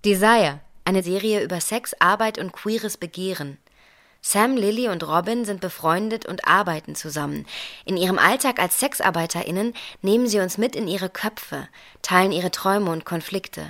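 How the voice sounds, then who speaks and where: A woman's voice: medium pitch, normal speed, fairly bright tone. A woman announcer reading a scripted trailer for an audio series.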